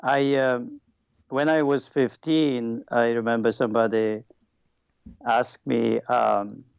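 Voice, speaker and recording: A man from Poland with a Polish accent, a native Polish speaker, giving halting, thoughtful answers as an interview guest. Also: mid-range voice, flat delivery, creaky, darkish tone, clear, resonant tone, no echo, lo-fi sound. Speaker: podcast guest, former Olympic weightlifter. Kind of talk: interview answers, personal recollection.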